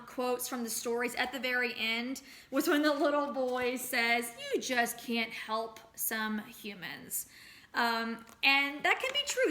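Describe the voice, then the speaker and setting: A woman teacher reads and explains a story for children. Her voice is bright and a little high, and she phrases things expressively, with deliberate emphasis. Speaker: teacher reading aloud to children. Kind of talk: expressive storybook read-aloud with lesson questions.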